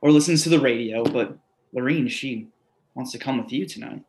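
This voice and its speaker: A man's voice: medium pitch, moderate pace, noisy background, lightly acted. A man in a play reading, playing an anxious, needy man with a stammering, pleading manner. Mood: defensive, anxious. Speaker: actor in a staged play reading. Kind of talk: readers theatre acting.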